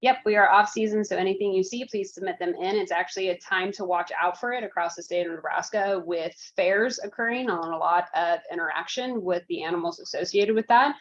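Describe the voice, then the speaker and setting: A woman's voice: medium pitch, a little fast, few pauses. A woman giving an informal update on a conference call. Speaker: public health lab presenter. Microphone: conference call connection. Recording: slightly dull conference call audio.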